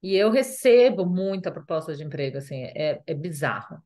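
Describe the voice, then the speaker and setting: A woman's voice: sits low, expressive, normal speed, dark tone. A woman from Brazil with a Brazilian Portuguese accent, chatting casually as an interview guest, full of fillers and repeated phrases.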